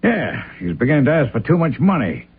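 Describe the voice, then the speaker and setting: An actor playing a cold-blooded murderous smuggler in a radio crime drama, with a raspy voice. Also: male voice, medium pitch, huge pitch swings, brisk, heavily acted. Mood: menacing, taunting. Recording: tinny old radio drama recording.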